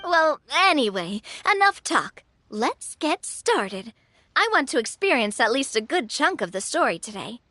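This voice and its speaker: A woman's voice: high-pitched, big pitch swings, unhurried, pushed and bright, a little hiss or hum, exaggerated voice. An actress playing a bold, upbeat young businesswoman adventurer in an anime game, eager, confident and chummy. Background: music.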